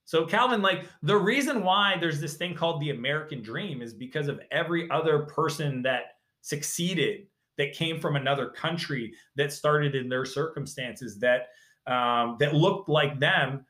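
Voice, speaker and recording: Animated man, bright echoey voice. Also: on the high side, normal speed. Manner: animated, emphatic storytelling. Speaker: guest. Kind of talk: casual interview talk.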